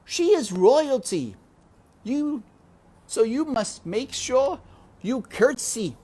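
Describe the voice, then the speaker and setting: A woman's voice: sits high, animated, slow pace, warm tone, husky, voice pushed up, full character voice. A woman voicing a dour, bossy head of the castle serving staff in a storybook, stern and emphatic.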